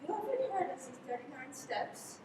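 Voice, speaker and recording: An echoey, noisy livestream captures a high female voice from far off. A young woman plays a mysterious secret agent on the run, speaking with dramatic urgency and guarded secrecy in radio play style. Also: big pitch swings, a little fast. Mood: grave warning. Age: young adult. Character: mysterious, intense female foreign secret agent, hunted and mercenary. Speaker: high school drama student acting. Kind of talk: live radio play acting. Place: live school performance, voice through a mask.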